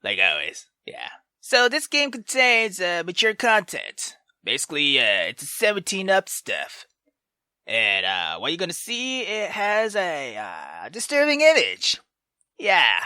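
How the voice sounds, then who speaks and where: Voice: medium pitch, wildly swooping pitch, a little slow, sharp tone, no room sound, studio quality. A game player giving casual let's play commentary, hesitant and rambling, with swearing and music behind the voice. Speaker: gaming video host.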